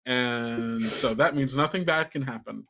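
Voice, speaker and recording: A man's voice: mid-range voice, a little slow, a bit bright. A man casually hosting a tabletop game podcast, talking in a loose, rambling way with small stumbles. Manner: rambling, stammering, often chuckling. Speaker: host and game master of an actual-play podcast.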